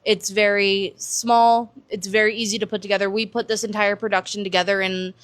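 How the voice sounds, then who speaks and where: An American woman gives conversational interview answers, explaining as she goes. Her voice is mid-pitched. The sound is dry, with little room on it. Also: flat delivery, brisk, no echo. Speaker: production stage manager, interview guest.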